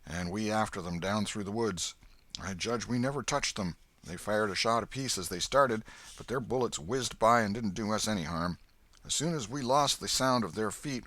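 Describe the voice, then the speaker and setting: A man's voice: lowish voice, fairly bright tone, dry recording. A man voicing a kindly, hearty old man in an audiobook, with a folksy, emphatic delivery. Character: hearty, kindly old Welshman, hospitable father of grown sons. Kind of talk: audiobook character voice.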